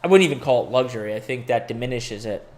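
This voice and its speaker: A man's voice: medium pitch, animated, fast talker, a little mellow. Candid, rambling man in his forties. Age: 40s. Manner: rambling, candid, profane asides. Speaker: crypto podcast host. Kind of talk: casual live-stream chat.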